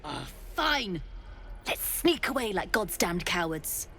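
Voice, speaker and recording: A woman's voice: medium pitch, very animated, slow, bright, forceful tone, a little hiss or hum, exaggerated voice. An actress playing a hot-headed young woman adventurer who speaks fiery and indignant.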